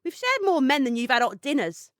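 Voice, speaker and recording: A woman's voice: high-pitched, huge pitch swings, brisk, a bit bright, exaggerated voice. An actress playing a brash, nosy, foul-mouthed streetwise woman in an audio drama, pushy, blunt and teasing.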